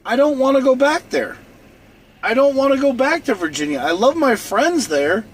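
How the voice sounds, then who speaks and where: A man's voice: very high voice, lively intonation, moderate pace. A man in his forties from North Dakota, hosting a live stream in a rambling, repetitive casual monologue, often restarting and repeating phrases. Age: 40s. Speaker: livestream host. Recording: noisy outdoor traffic behind. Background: car and street noise.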